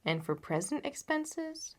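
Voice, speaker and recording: A woman voicing a cool, unruffled gentleman detective in a storybook, with a light, nonchalant, questioning manner. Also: sits high, sing-song, slow, pitched above the performer's own voice, exaggerated voice. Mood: polite, brisk. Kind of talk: dramatised audiobook reading.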